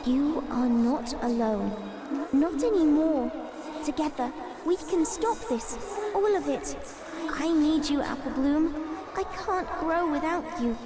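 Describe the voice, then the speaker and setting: A woman's voice: sits high, expressive, slow pace. A woman playing a dying magical tree seed spirit in a fantasy audio drama, speaking in a formal, grave, earnest way. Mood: pleading.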